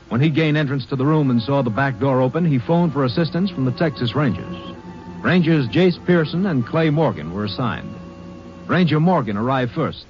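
A man narrating a 1950s radio crime drama in a steady, factual way. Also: mid-range voice. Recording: muffled old radio broadcast.

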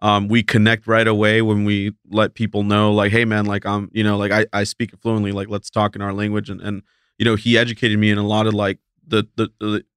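Male guest from Mexico, low, smooth and casual, with a dry mic. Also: monotone, brisk, a bit bright, dry recording. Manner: laid-back, filler-heavy, restarts a lot. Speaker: guest, talent agency principal. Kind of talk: casual interview talk.

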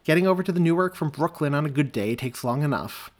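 A man voicing an older woman, an eager and fretful grandmother, in a high, bright voice. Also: expressive, fast talker, close to an everyday voice.

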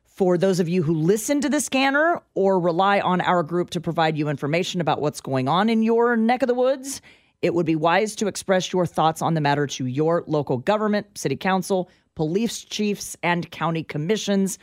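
A woman's voice: on the low side, animated, moderate pace, no room sound. Emphatic American woman on talk radio, dry sound. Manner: emphatic, argumentative. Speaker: radio talk show co-host. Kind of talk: opinionated talk radio discussion. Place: radio studio. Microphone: close studio microphone.

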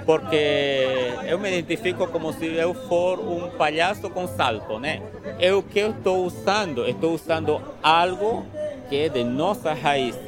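A man's voice: a little high, unhurried. Man telling his story over music. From Bolivia. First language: Spanish. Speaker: interviewee. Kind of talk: recorded interview testimony.

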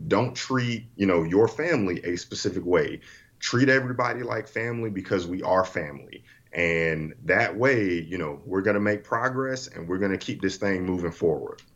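Man with a deep, earnest voice. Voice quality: deep, resonant radio voice. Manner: earnest and heartfelt. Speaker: podcast guest. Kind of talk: casual conversation. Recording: dull lo-fi audio.

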